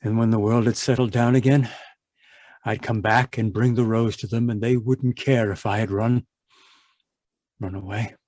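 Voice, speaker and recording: A man's voice: lowish voice, fairly even intonation, normal speed, long pauses, a little mellow, no room sound, lightly acted. An actor playing a guilt-ridden, domineering male chemist in an old-time radio horror play, swinging between haunted muttering and clipped, imperious speech. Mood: haunted, brooding.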